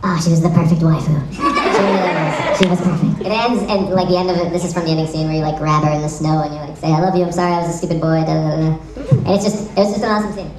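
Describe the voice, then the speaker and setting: A woman presents at a panel in a chatty, enthusiastic way, racing along in a slightly low voice. She jokes at her own expense, and she sounds far from the mic in an echoey room with a crowd. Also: steady tone, racing. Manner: enthusiastic, self-deprecating, jokey geek talk. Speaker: convention panel presenter and site creator. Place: convention panel room with audience. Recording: echoey room, distant mic, audience noise. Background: audience crowd.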